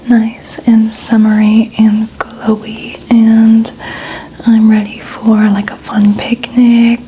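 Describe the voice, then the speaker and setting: Softly whispering ASMR woman in her twenties. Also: on the high side, completely flat delivery, slow, a little hiss or hum, poor audio. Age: 20s. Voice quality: soft, breathy, often whispered. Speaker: ASMR video host. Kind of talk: soft-spoken ASMR, casual personal chat.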